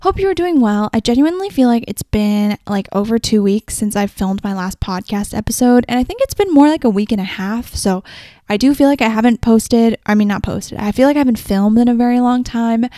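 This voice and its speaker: A young woman hosting her own podcast, chatting casually and rambling a little, her voice slightly raspy from a sore throat. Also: a little high, expressive, moderate pace, mellow, dry recording, clean studio sound. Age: young adult. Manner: chatty and rambling, frequent "like" and "kinda". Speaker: podcast host. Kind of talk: casual solo podcast chat.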